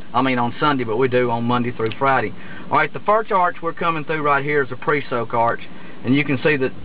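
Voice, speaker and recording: Guy talking you through his car wash from the driver's seat, lots of uh's, kinda muffled, loud brushes and sprayers going. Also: mid-range voice, background noise. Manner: rambling, halting, with many restarts and "uh"s. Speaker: car wash owner giving a tour. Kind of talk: casual walkthrough narration from inside a car.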